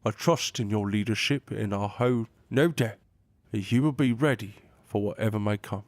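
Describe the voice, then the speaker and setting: An actor playing an aged, formal royal advisor in a fantasy audio drama, measured and earnest. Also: male voice, lowish voice, no room sound, close to an everyday voice. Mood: calm. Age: older.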